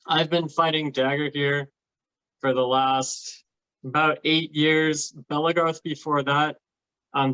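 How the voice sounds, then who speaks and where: A man explains things in a casual panel style at a medium pitch. The recording is close and dry, with a slightly dull tone. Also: fairly bright tone, no room sound. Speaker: panel guest. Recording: dry, slightly muffled audio.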